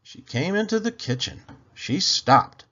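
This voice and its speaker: A man reading a children's picture book aloud as an expressive narrator. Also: sits high, measured pace, dull audio.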